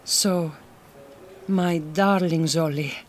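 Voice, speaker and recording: A woman's voice: low-pitched, fairly even intonation, drawn-out words, slight background noise. A woman playing a resolute, tender Hungarian migrant worker in a radio drama, with a Hungarian accent and careful, formal phrasing. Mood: tender, bittersweet. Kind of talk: naturalistic radio drama acting.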